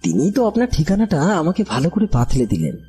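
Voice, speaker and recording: An actor playing a bewildered village trader in an audio horror drama, speaking in an anxious, hesitant, pleading way with a husky, breathy voice. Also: male voice, fairly high pitch, wildly swooping pitch, quick pace, dark tone. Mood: earnest. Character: frightened, bewildered village trader recounting his strange ordeal. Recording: background music under the voice.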